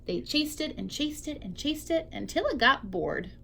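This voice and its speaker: A woman's voice: high-pitched, lively intonation, normal speed, creaky, fairly bright tone. A woman teacher reading a picture book aloud expressively to young children, drawing out key words for emphasis.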